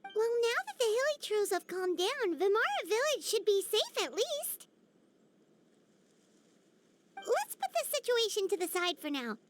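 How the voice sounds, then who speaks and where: Squeaky, very high childlike fairy companion. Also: female voice, a little slow, pauses often, noisy background, exaggerated voice. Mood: thoughtful. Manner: chirpy, expressive. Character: small childlike female fairy companion, chatty, earnest. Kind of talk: video game voice acting. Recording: music behind the voice.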